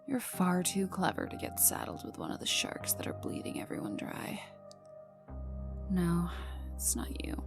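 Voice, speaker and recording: A woman voicing a cocky, teasing, gruffly protective young villain enforcer in an intimate ASMR roleplay, with a casual drawl that drops into whispers. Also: on the low side, wildly swooping pitch, measured pace, heavily acted. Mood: low, knowing. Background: ambient music.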